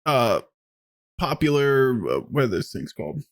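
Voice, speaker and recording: A man's voice: medium pitch, a little slow, no room sound. A man hosts a casual podcast, laughing as he talks. His voice sits close to the mic with a dry, close sound. He keeps the chat loose and playful. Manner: laughing, lively, swearing. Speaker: podcast host.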